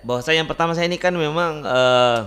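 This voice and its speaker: Male podcast guest, Makassarese accent, punchy and animated. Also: on the high side, fairly quick. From Bulukumba, South Sulawesi, Indonesia. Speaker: guest content creator. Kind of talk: casual podcast chat.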